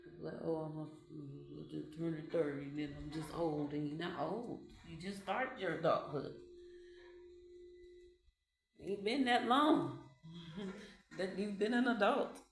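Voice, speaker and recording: Low-voiced woman musing in an echoey room. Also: a little slow, vocal fry. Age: 20s. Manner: meandering, repeats phrases, laughs between thoughts. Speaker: vlogger. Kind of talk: reflective casual monologue. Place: home. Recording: echoey room with faint ambience.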